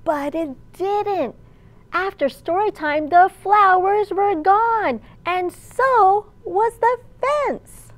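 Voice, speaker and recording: A woman in her thirties, a children's librarian, telling a picture-book story in an animated, clearly enunciated way. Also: extremely high pitch, extremely slow. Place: large indoor library room.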